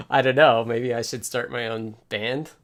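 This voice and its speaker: A man casually talking through live coding and electronics as a stream host, halting as he thinks aloud. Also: mid-range voice, fairly bright tone. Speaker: livestream host and software developer.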